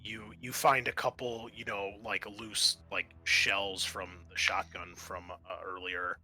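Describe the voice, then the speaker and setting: A man working as game master, narrating a roleplaying session in a halting, conversational way. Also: on the low side, level tone, bright, forceful tone.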